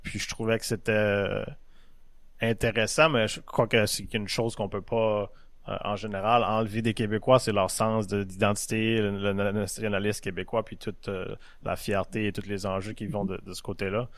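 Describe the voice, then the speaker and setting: Halting young man. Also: on the low side, level tone, fairly bright tone, no echo. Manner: halting, full of hesitations. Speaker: podcast host. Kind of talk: casual podcast talk.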